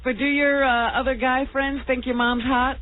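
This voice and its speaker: A woman's voice: sits high, level tone, moderate pace, phone-quality sound. A woman co-hosting a radio talk show, chiming in with casual questions in band-limited broadcast sound. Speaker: radio co-host.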